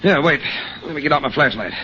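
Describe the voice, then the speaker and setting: An actor playing a resolute, grave investigator in an old-time radio jungle adventure. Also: male voice, a little high, lively intonation, fast talker, sharp tone. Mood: grim, investigative. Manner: decisive, grave, urgent. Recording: dull, noisy old radio recording.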